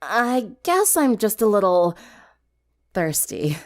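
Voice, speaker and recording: A woman's voice: mid-range voice, sing-song, slow. Woman as a weary, understated teenage vampire girl. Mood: sly, casual.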